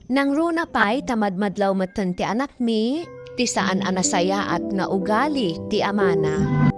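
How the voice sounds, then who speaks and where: A woman's voice: medium pitch, lively intonation, a little slow, natural voice. Woman acting an aggrieved Ilocano mother over music. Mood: aggrieved, sad. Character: aggrieved young mother privately recounting her unhappy marriage. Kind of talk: radio drama monologue.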